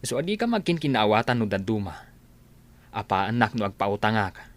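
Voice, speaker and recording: A man's voice: lowish voice, very animated, brisk. A man playing an easygoing, generous village husband who lends money, plain-spoken in an Ilocano radio comedy drama. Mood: encouraging.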